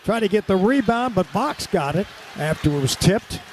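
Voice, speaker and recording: A man's voice: high voice, animated, moderate pace, a little hiss or hum. A man doing live radio-style basketball play-by-play in clipped, vivid running descriptions.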